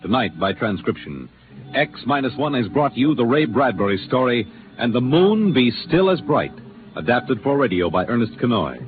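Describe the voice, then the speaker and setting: A man's voice: medium pitch, big pitch swings, moderate pace. A man announcing in formal, declamatory 1950s radio style. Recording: muffled vintage radio recording over music.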